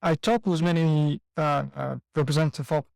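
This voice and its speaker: A man hosting a podcast interview, asking searching questions in a halting way. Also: on the high side, steady tone, creaky, darkish tone, no echo, studio quality. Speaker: podcast host. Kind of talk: casual interview chat.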